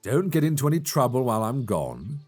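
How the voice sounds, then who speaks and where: A man voicing a good-humored, teasing elf hunter in a soft ASMR fantasy roleplay, close to a binaural microphone. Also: medium pitch, very animated, a little fast, faint music behind. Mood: friendly, easygoing. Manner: playful, gently instructing, chuckling often. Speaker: voice actor in audio roleplay.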